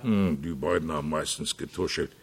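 An actor playing a working-class taxi driver in a radio play, giving a plain-spoken witness account. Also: male voice, a bass voice, normal speed, creaky. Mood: eager, chatty.

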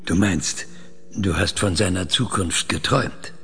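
A man acting in a radio play as a calm, controlling scientist with a husky, gravelly voice and a measured, deliberate way of talking. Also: deep voice, faint music behind, full character voice. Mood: cool, firm. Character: calm, controlling male scientist interrogating an artificial mind. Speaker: radio drama actor.

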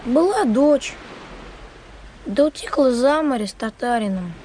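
A woman's voice: high voice, animated, a little slow, darkish tone, slight background noise. A woman playing a wary, evasive blind peasant boy with a Ukrainian accent in a radio drama, giving terse answers. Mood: guarded, plaintive.

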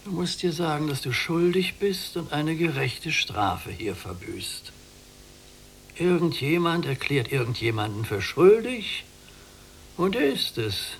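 An actor playing a weary old prisoner in a literary radio play, gravelly and severe in a measured, declamatory way. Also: male voice, fairly high pitch, big pitch swings, slight background noise. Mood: bitter, resigned. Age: older. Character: old blind prisoner, weary, stern, resigned.

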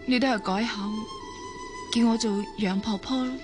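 Actress as high-voiced, sorrowful immortal woman, Hong Kong Cantonese. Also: fairly even intonation, moderate pace, close to an everyday voice. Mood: torn, pleading. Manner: emotional, pleading, melodramatic. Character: immortal woman in her eighties who looks twenty, lonely, sorrowful. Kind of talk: Cantonese radio drama acting. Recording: music under the voice.

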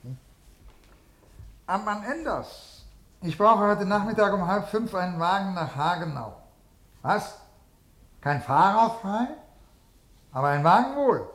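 An old civil servant in a vintage radio drama, his voice on the higher side. He is curt and officious, an ailing desk tyrant who fumbles for names and barks orders. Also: animated, measured pace, long pauses, fairly bright tone. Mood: commanding. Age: older. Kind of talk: 1950s German radio drama acting.

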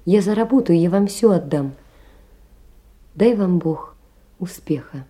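An actress in an audio drama playing a distressed but proud young poor woman, pleading earnestly in a soft, hushed voice. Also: on the low side, expressive, measured pace, a little hiss or hum. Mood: desperate pleading. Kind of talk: theatrical audio drama letter monologue.

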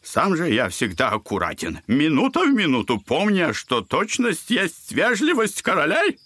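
An old buffoon of a father, pitched high and swinging wildly up and down. The voice is bright and sharp, full of fawning, theatrical mock courtesy, as in a radio play. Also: wildly swooping pitch, moderate pace, breathy edge, heavily acted. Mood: mock-apologetic. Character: garrulous, clownish old father, a self-declared buffoon. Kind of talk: audio drama acting.

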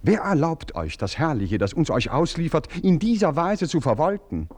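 A man's voice: on the high side, sing-song, moderate pace, darkish tone, slight background noise. A man playing an impatient, jealous husband who swings between scorn and pleading in a radio play.

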